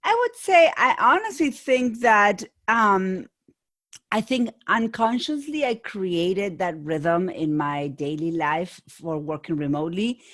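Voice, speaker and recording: A woman's voice: medium pitch, lively intonation, slow pace, fairly bright tone. Argentine-accented woman coach, thoughtful. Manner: thoughtful, restarts often, light laughs. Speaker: guest, business coach. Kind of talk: conversational interview.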